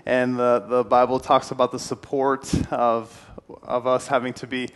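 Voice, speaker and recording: A man's voice: mid-range voice, flat delivery, a little slow. Earnest young male church speaker. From Puerto Rico. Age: 20s. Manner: friendly, earnest, some restarts. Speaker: guest speaker. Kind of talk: church talk. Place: church hall with stone fireplace. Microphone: handheld mic, then headset.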